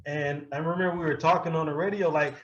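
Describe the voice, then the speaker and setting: An American man telling stories casually in an interview, profane and quick to laugh. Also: muffled recording. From Milwaukee, United States. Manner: animated, profane, breaks often into high-pitched laughter. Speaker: hip-hop artist being interviewed. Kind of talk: casual anecdotal storytelling.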